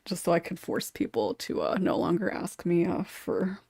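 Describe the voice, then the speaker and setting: A woman's voice: on the low side, sing-song, normal speed, creaky, darkish tone, breathy edge, no room sound. A woman hosting a casual podcast chat, giggly and a bit hesitant, rambling off into asides. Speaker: podcast host and script writer.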